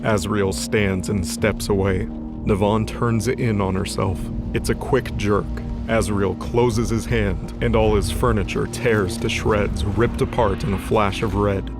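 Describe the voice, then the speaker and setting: A man's voice: fairly low pitch, fairly even intonation, a little slow, music in the background. A man reading scripted, dramatic present-tense narration for an audio drama.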